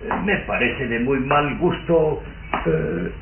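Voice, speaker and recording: A man's voice: mid-range voice, expressive, takes it slowly, phone-quality sound. An actor playing an elderly, effusive and domineering nobleman father, pompous and sometimes hesitant, in an old radio drama. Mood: scolding, placating. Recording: thin, noisy old radio recording.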